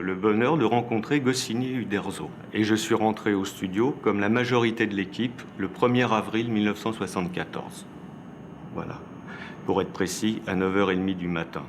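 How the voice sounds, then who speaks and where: Guy with a lowish voice looking back on old job in an interview, slight noise behind. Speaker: former animation studio staffer. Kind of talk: reminiscing in interview. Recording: faint background noise.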